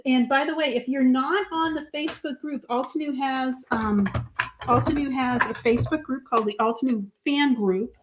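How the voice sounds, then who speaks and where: A woman's voice: sits high, background noise. A woman with a Boston accent hosting a live crafting demo, chatty and gushing, talking to viewers by name. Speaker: craft show host.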